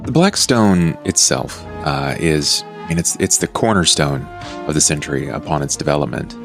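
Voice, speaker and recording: A man speaking as a player on a tabletop role-playing podcast, with measured, rather formal wording. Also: very deep voice, lively intonation, vocal fry, music in the background. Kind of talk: podcast chat and announcements.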